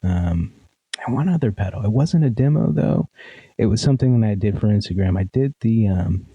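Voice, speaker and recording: Sleepy, gravelly low-voiced man. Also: a little fast, no echo, dull audio. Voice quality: sleepy, nasal. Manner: relaxed, many fillers and restarts. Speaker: guest, pedal demo creator. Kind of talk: casual interview.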